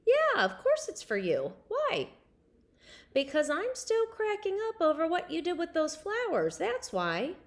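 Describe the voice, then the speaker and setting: A woman's voice: very high voice, huge pitch swings, measured pace, voice pushed up, heavily acted. A woman voicing a confident, sassy but friendly schoolgirl in storybook dialogue. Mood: amused, friendly.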